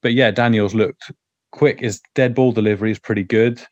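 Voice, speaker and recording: A man with a fairly low, hoarse, croaky voice talks football in a loose, rambling way, often stopping to restart his sentences. His voice sounds dry and close, with a slightly dull, muffled tone like an online call. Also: steady tone, fairly quick, a little mellow, dry recording. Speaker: podcast co-host. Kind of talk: casual football chat.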